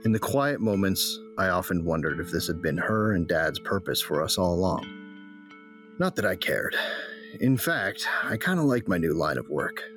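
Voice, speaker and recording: A man's voice: low-pitched, big pitch swings, moderate pace, a bit bright, soft background music. A man narrating a drama in the first person, cocky and laid-back.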